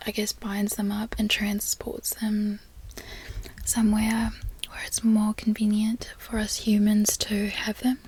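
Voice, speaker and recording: A young woman from Florida whispering softly in a chatty, rambling way, as a relaxed ASMR talk. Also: medium pitch, a little slow, slight background noise. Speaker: ASMR creator.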